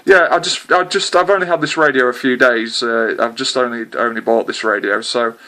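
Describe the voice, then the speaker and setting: A man's voice: mid-range voice, fairly quick, bright, forceful tone. A man chatting hesitantly with a distant contact as a CB radio operator, with lots of filler sounds. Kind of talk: casual radio contact chat.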